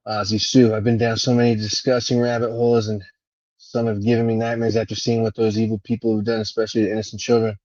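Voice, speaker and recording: A husky man with a fairly low voice hosts a casual livestream. He rambles without a script, halting and letting thoughts trail away. The sound is close, dry and slightly dull. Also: level tone, a little mellow, no room sound. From Venezuela. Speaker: live stream host. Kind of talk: casual unscripted livestream monologue.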